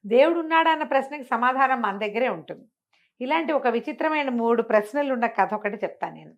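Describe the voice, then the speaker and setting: Female storyteller, higher register, expressive and chatty, fond of rhetorical questions. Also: quick pace, lo-fi sound. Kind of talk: conversational moral storytelling.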